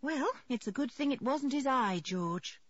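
A woman voicing a calm, no-nonsense mother, soothing yet brisk, in an audiobook story. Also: high voice, no echo, muffled recording, voice pushed up. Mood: calm practicality. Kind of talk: audiobook character voice.

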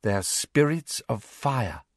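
A man's voice: medium pitch, sing-song, takes it slowly, no room sound, clean studio sound, voice pushed up, exaggerated voice. A man voicing a solemn, stubborn, eccentric teenage girl with a dreamy, matter-of-fact manner in an audiobook.

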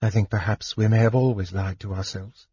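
A man voicing a young elf princess who gently pleads with her dying father. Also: mid-range voice, a little slow, breathy edge, dry recording, muffled recording. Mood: pleading.

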